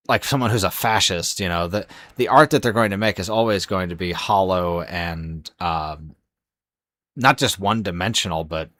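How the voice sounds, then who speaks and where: Casting: young adult male streamer, hoarse and sleepy, very low voice, dry close sound, rambling delivery. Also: bright, forceful tone, dry recording. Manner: rambling, many fillers and restarts. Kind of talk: casual live-stream chat.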